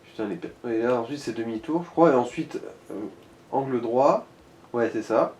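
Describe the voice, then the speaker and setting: A man's voice: medium pitch, moderate pace, a little mellow, slight background noise. Man guiding a gaming session, echoey room. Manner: informal, swearing, talking through directions. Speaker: co-host of a gaming let's play. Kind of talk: casual gaming commentary, guiding a co-player.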